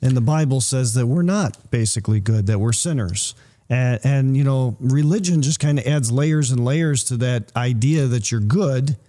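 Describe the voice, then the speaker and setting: Mellow-voiced man discussing the Bible. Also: mid-range voice, normal speed. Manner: reads scripture aloud in King James wording.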